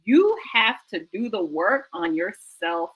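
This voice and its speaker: A woman's voice: medium pitch, big pitch swings, takes it slowly, breathy edge, muffled recording. A woman hosting a book talk show, speaking conversationally and enthusiastically in an emphatic, exhorting way that repeats phrases for effect.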